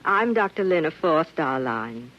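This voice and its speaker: An actress playing a young, self-possessed doctor with a probing, clinical manner in a 1950s radio drama. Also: female voice, sits low, big pitch swings, measured pace, a bit bright, slight background noise, exaggerated voice. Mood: cool, curious. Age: young adult. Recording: dull vintage radio recording.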